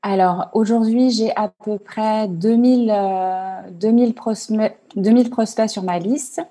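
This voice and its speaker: A woman coach answering interview questions on a video call, halting and full of fillers and restarts. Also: mid-range voice, fairly even intonation, warm tone, slightly rough. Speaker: online coach and entrepreneur.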